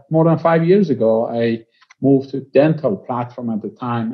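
Halting Iranian-accented man in his sixties. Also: mid-range voice. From Iran. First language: Persian. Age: 60s. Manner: halting, pausing often mid-phrase. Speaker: interview guest, company executive. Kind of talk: conversational life-story interview. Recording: slightly dull, lo-fi audio.

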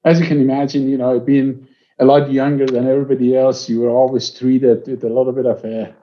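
A man in his sixties from Iran with an Iranian accent, speaking conversationally as an interview guest, with halting phrases and frequent pauses. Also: medium pitch, flat delivery. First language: Persian. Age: 60s. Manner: halting, pausing often mid-phrase. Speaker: interview guest, company executive. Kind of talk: conversational life-story interview. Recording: slightly dull, lo-fi audio.

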